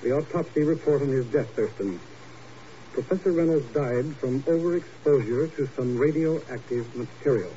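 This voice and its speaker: An actor playing a brisk, self-assured, dismissive scientist doctor in a 1950s radio drama, with clipped and pompous formal delivery. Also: male voice, medium pitch, close to an everyday voice. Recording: muffled, faintly noisy 1950s radio.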